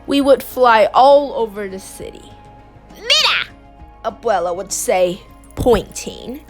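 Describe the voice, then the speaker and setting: A woman reading a picture book aloud in a gentle, expressive way. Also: medium pitch, measured pace, music in the background. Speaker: storybook reader.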